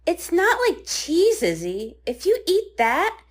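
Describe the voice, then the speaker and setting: A woman's voice: very high-pitched, huge pitch swings, slow pace, exaggerated voice. A woman voicing a pampered, snarky young female pony princess in a fan comic dub, sassy and teasing.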